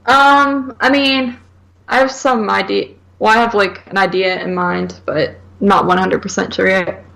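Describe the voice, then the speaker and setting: A woman's voice: medium pitch, steady tone, unhurried, gravelly, a bit bright, rough recording. A woman co-hosting a podcast in casual chat, hesitant and noncommittal, peppering her sentences with "like". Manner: hesitant, hedging, heavy use of "like". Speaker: podcast co-host.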